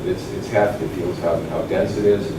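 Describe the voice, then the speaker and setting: Man presenting casually, lowish voice, distant noisy echoey room. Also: steady tone, moderate pace. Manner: informal, rambling with fillers and restarts. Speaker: conference presenter. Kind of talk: academic conference talk. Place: conference session room.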